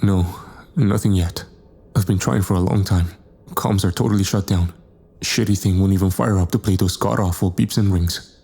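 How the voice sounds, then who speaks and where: A man acts out a crude, exasperated crewman in a sci-fi audio drama. His low voice is full of gravel and has a dark, mellow tone. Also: steady tone, normal speed, full character voice. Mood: frustrated. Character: crude, frustrated male spacecraft crew member.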